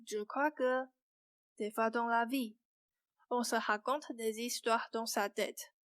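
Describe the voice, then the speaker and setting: A woman playing a gentle, frank young fairy-tale heroine in a student radio play. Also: a little high, steady tone, takes it slowly. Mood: frank, concerned.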